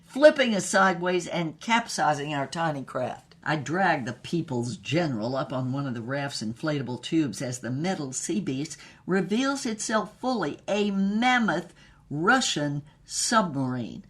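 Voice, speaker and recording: A bold, sultry spy and screen starlet as performed by an actor tells her own adventures. Her narration is theatrical and wry, in a medium-pitched voice suited to audio drama. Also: sing-song, unhurried, fairly bright tone. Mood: excited. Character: bold, sultry female spy and film star telling her exploits. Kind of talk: dramatic first-person scripted narration.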